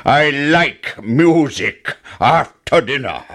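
A man voicing a huge, bloodthirsty male giant in a storybook reading, booming and slow. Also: lively intonation, fairly bright tone. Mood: commanding.

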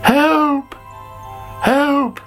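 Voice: sits high, fairly even intonation, very slow, fairly bright tone, soft background music, pitched above the performer's own voice, heavily acted. A storyteller voicing a young, greedy, easily upset caterpillar in a dramatic, plaintive children's story style. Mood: panicked.

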